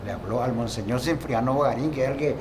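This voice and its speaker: Older man from Paraguay, halting storyteller, mid-pitched, with clear outdoor noise behind. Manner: rambling, halting, reminiscing. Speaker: local guide and interviewee. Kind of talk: casual storytelling interview.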